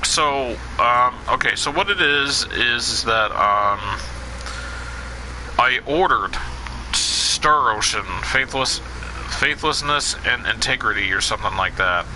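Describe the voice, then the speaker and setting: A man's voice: mid-range voice, animated, slow pace, background noise. A man streaming a video game, chatting to viewers in a casual, rambling way and answering their questions with lots of fillers. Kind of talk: casual let's play commentary.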